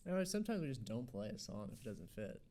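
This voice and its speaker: A man's voice: lowish voice, big pitch swings, fast, dark tone. A twenty-two-year-old man, a band member, chiming in with short remarks during a radio studio interview. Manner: brief interjections, finishes others' sentences. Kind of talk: casual interview chat.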